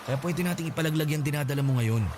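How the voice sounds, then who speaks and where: An actor playing a dismissive, evasive young boyfriend in a radio drama. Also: male voice, on the high side, fast talker, heavy background noise, lightly acted. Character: young boyfriend refusing to take responsibility.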